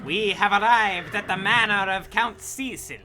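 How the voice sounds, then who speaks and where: A woman playing a snappy, exasperated vampire attendant in an audio drama, bossy and quick to bicker. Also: medium pitch, heavy background noise, close to an everyday voice. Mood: formal. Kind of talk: cinematic audio roleplay acting.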